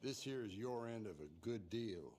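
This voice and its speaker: An actor playing a gruff, businesslike lawman in a western game, speaking curtly with music underneath. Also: fairly low pitch, animated, normal speed, darkish tone, slight background noise, lightly acted. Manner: curt, businesslike.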